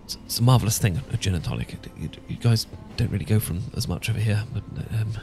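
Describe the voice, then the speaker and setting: A man's voice: lowish voice, fast, gravelly, over music. A man with a British accent playing an awkward, sentimental English professor in a romantic ASMR roleplay, stammering and hesitant. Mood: bashful. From Britain. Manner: stammering, hesitant, full of false starts. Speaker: audio roleplay performer.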